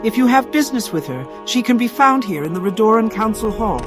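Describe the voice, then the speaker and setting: A woman voicing a proud, brusque dark elf military commander in a fantasy game, stern, formal and impatient. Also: a little high, expressive, fairly quick, over music, natural voice. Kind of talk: scripted fantasy game dialogue.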